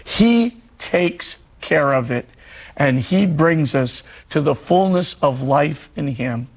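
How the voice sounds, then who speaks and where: A middle-aged man, a priest, giving a televised religious talk in an earnest storytelling way; his voice is husky and hoarse because he is losing it. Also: on the high side, expressive, slow. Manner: earnest storytelling preacher, repeats phrases for emphasis.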